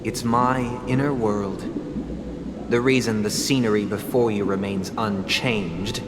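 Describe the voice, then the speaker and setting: An actor playing a composed, devout young male villain in a video game, speaking in a measured, formal, solemn way. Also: fairly low pitch, fairly even intonation, very noisy, natural voice. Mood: calm, controlled. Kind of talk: dramatic video game voice acting. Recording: long reverb, loud game music behind.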